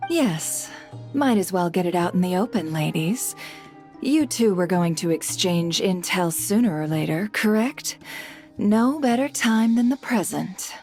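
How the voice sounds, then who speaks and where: A woman's voice: fairly low pitch, lively intonation, measured pace, darkish tone. An actress playing a sly, elegant, calculating female spymaster in a video game, courteous and knowing. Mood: coolly amused. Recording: music behind the voice.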